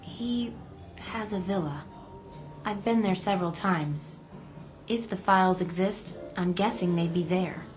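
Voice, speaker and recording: An actress playing an earnest, anxious young office employee turned informant in a video game drama, polite and hesitant. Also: female voice, lowish voice, unhurried, natural voice. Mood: hesitant, cooperative. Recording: music playing behind the voice.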